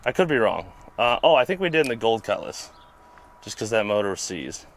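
A man's voice: fairly low pitch, sing-song, a little fast. A man hosting, casually explaining car repair step by step in a laid-back, rambling way, outdoors by a vehicle. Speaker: host and mechanic.

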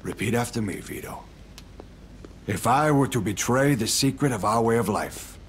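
An actor playing a middle-aged mafia don in a game cutscene, speaking formally, with ceremonial gravity. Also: male voice, mid-range voice, moderate pace, slight background noise, lightly acted. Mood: solemn, menacing.

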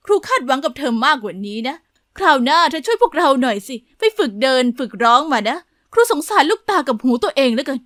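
A woman's voice: high-pitched, big pitch swings, fairly bright tone, dry recording, clean studio sound. Woman playing a scornful strict math teacher. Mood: scolding. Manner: scolding, sarcastic, drawn-out.

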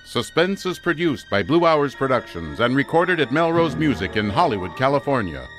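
A male radio drama host delivers scripted closing credits in an old-time announcer style. His mid-pitched voice moves in lively swings over clearly audible music. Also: moderate pace.

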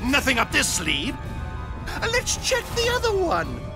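Shrill, manic clown villain. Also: male voice, huge pitch swings, unhurried, exaggerated voice. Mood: mocking. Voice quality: creaky, cackling. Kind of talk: video game cutscene acting. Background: music.

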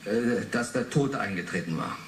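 An actor playing a formal, sceptical investigator in a radio drama, matter-of-fact and clipped. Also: male voice, on the low side, animated, moderate pace, lightly acted. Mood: probing. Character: sober, probing official investigator, formal and sceptical. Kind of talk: 1980s German radio drama acting. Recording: roomy sound, faint noise.

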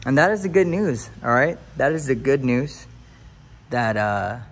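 A man's voice: mid-range voice, lively intonation, unhurried, a little hiss or hum. A man touring a new house for viewers, then preaching in an upbeat, hyped way. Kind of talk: casual house-tour vlog turning to preaching. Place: empty new house, then its covered back patio.

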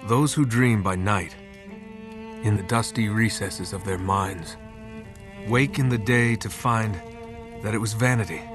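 An actor playing a solemn narrator of a video game prologue, grave and deliberate, with dramatic pauses. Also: male voice, on the low side, lightly acted. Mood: solemn, reflective. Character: solemn male narrator reciting a reflective epigraph. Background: orchestral strings music.

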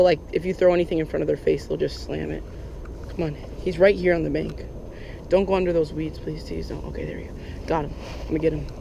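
A young man giving casual, excitable running commentary while fishing from a lake bank, recorded on a head-mounted action camera. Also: fairly high pitch, vocal fry, background noise. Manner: chatty, excitable, lots of "like" and "oh my gosh". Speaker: fishing vlogger. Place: outdoors on a lake bank in Florida.

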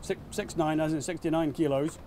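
Surveyor, middle-aged, slightly high voice, outdoor marina noise behind. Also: male voice, steady tone, brisk. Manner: practical, thinks aloud with restarts. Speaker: surveyor demonstrating a heel test. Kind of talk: on-site technical demonstration. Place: outdoor marina, on a small boat.

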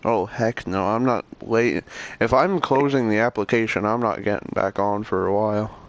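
A teenage boy in high school chatting over game voice chat, laid-back, teasing and laughing often. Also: moderate pace, no echo. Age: teens. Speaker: online game player. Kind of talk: casual voice chat while gaming.

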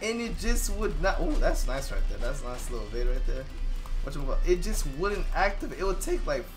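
Hoarse young man ranting over gameplay. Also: on the high side, a little fast, music in the background. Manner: excitable, rambling, swears freely. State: hoarse, losing his voice. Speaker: gaming YouTuber. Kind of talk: live gameplay commentary.

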